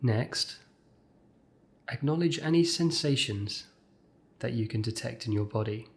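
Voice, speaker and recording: A man guides a mindfulness exercise at a medium pitch. He gives scripted instructions in measured, short phrases. Gentle pauses separate each step of the meditation. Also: expressive, vocal fry.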